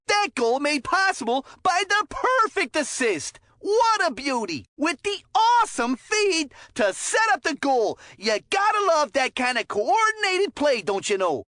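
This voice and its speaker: A woman playing an excitable, folksy, joking cartoon hockey announcer in a video game, calling the play with exclamations. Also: high-pitched, no echo, clean studio sound, exaggerated voice. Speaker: sports commentator. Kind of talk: scripted play-by-play game commentary.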